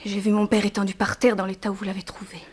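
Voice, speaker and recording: Young female, played by an actress: mid-pitched, earnest, plaintive orphaned daughter, restrained sorrow, old radio drama style. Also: little pitch movement, very fast, a little mellow, slightly rough, natural voice. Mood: subdued, recounting. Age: young adult. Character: sorrowful young daughter of a harsh plantation owner. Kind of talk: 1960s French radio drama acting.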